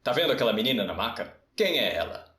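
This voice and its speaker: A man voicing a deadpan, smug, childish older male commander in an anime parody. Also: mid-range voice, fast talker, echoey room, voice pushed down. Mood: smug, callous.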